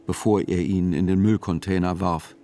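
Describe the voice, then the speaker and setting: Radio play narrator, male actor: probing, dryly ironic chronicler, lowish pitch, measured scripted delivery. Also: steady tone, dark tone, lightly acted.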